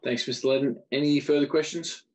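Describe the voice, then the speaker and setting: A man's voice: mid-range voice, fairly even intonation, fairly quick, a little mellow, slight background noise. A man with an Australian accent chairing a council meeting as mayor in short, procedural phrases.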